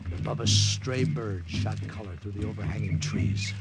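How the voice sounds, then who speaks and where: An actor playing a wisecracking, quick-witted American army officer who tells his story vividly in a 1950s radio drama. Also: on the low side, over loud music, natural voice. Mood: tense, grim. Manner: vivid storytelling, wry and punchy. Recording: vintage radio drama with musical score.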